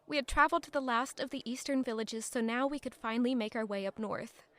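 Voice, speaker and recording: A high-pitched young female voice in an audio drama , played by a woman. She is weary and bitter, with a defiant edge that turns indignant under pressure. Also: fast, fairly bright tone, dry recording, natural voice. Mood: matter-of-fact. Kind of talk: audio drama acting with first-person narration.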